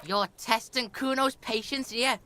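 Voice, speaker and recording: A defiant young street punk from a video game. The voice is high and piercingly bright, with a swaggering sneer and a hostile, cocky edge. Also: female voice, unhurried. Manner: swaggering, sneering, speaks of self in third person. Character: cocky foul-mouthed delinquent street boy, defiant, hostile. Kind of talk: video game character acting.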